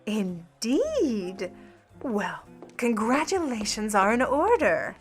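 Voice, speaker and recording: Sly 1950 Hollywood starlet-thief , female actor, higher-pitched, condescending, syrup over steel, music underneath. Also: big pitch swings, takes it slowly. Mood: gushing, barbed. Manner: arch, syrupy politeness. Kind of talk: 1950 noir radio-play acting.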